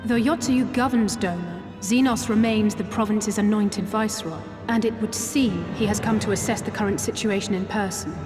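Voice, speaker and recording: An actress playing an earnest, cautious young woman strategist in a video game, speaking formally and with measured care. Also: mid-range voice, natural voice. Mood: grave, cautioning. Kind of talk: dramatic video game voice acting. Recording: echoey hall-like reverb under music.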